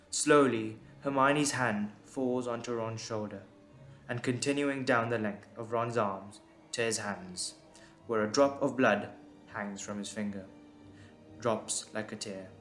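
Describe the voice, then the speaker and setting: A man's voice: medium pitch, a bit bright. A man reading scripted dramatic narration as the narrator of an audio drama. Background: music.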